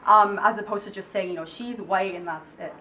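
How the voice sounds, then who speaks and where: A woman's voice: medium pitch, fairly quick. A young woman whose first language is Polish, answering interview questions casually with many filler words. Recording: distant mic, faint noise.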